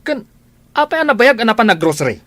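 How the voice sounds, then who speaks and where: A man's voice: very high-pitched, big pitch swings, fast, bright, forceful tone, no echo, full character voice. A man acting a domineering, suspicious husband in a radio drama, contemptuous and boastful. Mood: critical, nagging.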